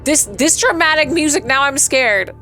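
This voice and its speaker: A woman's voice: sits high, animated, normal speed, pushed and bright. A woman streaming a let's play, reading a visual novel aloud with playful, dramatic, giggly asides. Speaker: gaming streamer. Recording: music behind the voice. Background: game music.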